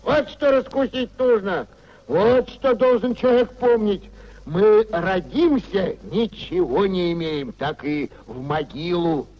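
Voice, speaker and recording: High-voiced, sanctimonious old clerk. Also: male voice, slow pace. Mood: moralizing. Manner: pompous, unctuous, sententious, lamenting. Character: sanctimonious, fretful old government clerk, self-pitying moralizer. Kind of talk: 1950s Soviet radio theatre acting. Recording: dull 1950s radio recording.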